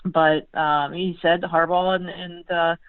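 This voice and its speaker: Female beat writer, phone-call sound, dry, conversational sports analysis, halting, repeated words. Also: lowish voice, little pitch movement, no echo. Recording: tinny phone line.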